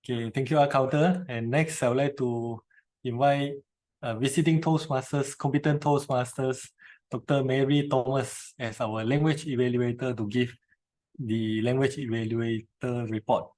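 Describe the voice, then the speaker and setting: A man's voice: medium pitch, fairly even intonation, normal speed, darkish tone, low-quality recording. A man acting as general evaluator, briefly and politely introducing the next speaker. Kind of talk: chairing a club meeting on a video call.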